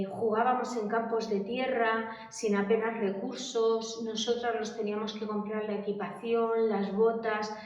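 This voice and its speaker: A middle-aged woman, recalling her life for an oral history interview. She hesitates often, full of fillers and restarts, in a fairly high voice. She sounds far from the mic in a very echoey room, with a dull, muffled tone. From Madrid, Spain.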